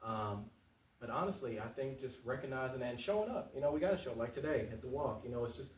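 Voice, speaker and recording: Fast-talking man, echoey room. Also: medium pitch, a little hiss or hum. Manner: passionate, informal, repeats phrases for emphasis. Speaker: interview guest, local political candidate. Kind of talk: casual, earnest talk-show interview answers. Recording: roomy echo, distant mic.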